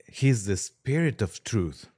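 A man's voice: on the low side, big pitch swings, slow, warm tone, husky. A man, a priest appearing as a podcast guest, talking conversationally about religion and earnestly restating his points.

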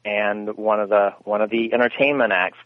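A man's voice: lowish voice, flat delivery, moderate pace, no room sound. A man giving interview answers, telling stories in a rambling way and correcting himself as he goes. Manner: rambling, self-correcting storyteller. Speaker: guest, radio theater founder. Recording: tinny phone line, dry.

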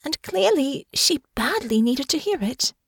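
A woman's voice: high-pitched, very animated, a little slow, creaky, no echo, clean studio sound, voice pushed up, exaggerated voice. A woman voicing an earnest, well-spoken young woman with formal, polite phrasing and open feeling. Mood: earnest, rueful.

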